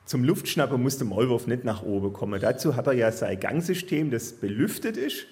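A man's voice: medium pitch, lively intonation, a little fast, a little mellow. A man speaking as an expert guest, explaining things plainly and matter-of-factly for children. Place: live stage at a podcast festival.

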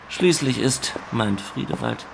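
A man reading scripted literary narration in a measured, formal, factual manner. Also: mid-range voice, lively intonation, gravelly, noisy background.

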